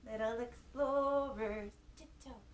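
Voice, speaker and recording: A woman hosting storytime for young children, reading a picture book aloud in an expressive, gasping manner and putting questions to her little listeners. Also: on the high side, takes it slowly. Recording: echoey room with faint background noise.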